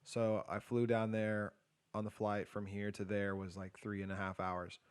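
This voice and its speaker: A man's voice: level tone, moderate pace, clean tone. A young man co-hosting a casual podcast, rambling through a story with lots of "like" and swearing, a bit short of breath. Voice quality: self-described low, quiet voice. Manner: rambling retelling, heavy filler "like", swearing. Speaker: podcast co-host. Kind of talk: casual chat.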